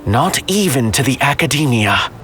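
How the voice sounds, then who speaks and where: An actor playing a stern, earnest theatre mentor in a video game, speaking in grand, formal phrases. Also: male voice, mid-range voice, big pitch swings, moderate pace, fairly bright tone, a little hiss or hum. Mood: solemn encouragement. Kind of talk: video game character acting.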